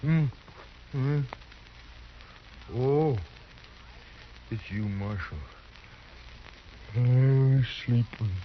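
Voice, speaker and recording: A meek muddled cowboy in an old radio western, stammers a lot, dull hissy sound. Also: male voice, mid-range voice, drawn-out words, long pauses. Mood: groggy, muddled. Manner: hesitant, stammering, repeating himself. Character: timid, drunken young cowboy, pleading and muddled. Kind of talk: 1950s radio western acting. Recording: muffled, noisy old radio recording.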